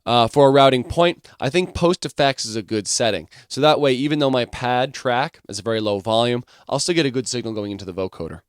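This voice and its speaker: A man's voice: mid-range voice, a little fast, few pauses, no room sound. Dry-sounding man giving a tutorial. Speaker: music production instructor. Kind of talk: software tutorial, explaining step by step.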